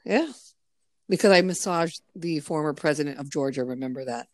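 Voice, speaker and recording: Woman on a podcast, low-ish voice, swears a lot, dry close sound. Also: moderate pace, a little mellow, no echo. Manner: blunt, swearing, chatty storyteller. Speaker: podcast host. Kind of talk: casual podcast chat.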